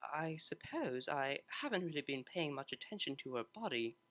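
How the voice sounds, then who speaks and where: Man playing a refined, lightly high-voiced young mare. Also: big pitch swings, a bit bright. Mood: wry. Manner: proper, measured, dry. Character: refined, polite young cellist mare, gently wry. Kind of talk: fan fiction audiobook character voice.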